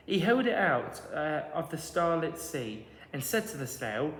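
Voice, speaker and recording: Higher-voiced man reading in echoey hall. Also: big pitch swings, unhurried, fairly bright tone, slight background noise. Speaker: teacher reading to pupils. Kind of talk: children's storybook read aloud, rhythmic rhyming narration. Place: large reverberant indoor room. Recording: echoey hall with long reverb.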